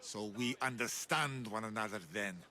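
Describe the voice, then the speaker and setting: Imperious Spartan general, bright forceful voice. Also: male voice, fairly low pitch, moderate pace. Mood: stern, confident. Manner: imperious, boastful, curt. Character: ruthless, proud, ambitious male Spartan general. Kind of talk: scripted video game acting.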